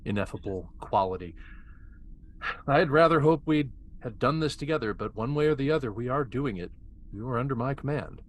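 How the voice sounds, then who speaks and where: Obsessive military scientist, male. Arrogant, condescending, very animated pitch. Man acting at a table read. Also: normal speed, slight background noise, natural voice, poor audio. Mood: cold, commanding. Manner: dramatic, condescending.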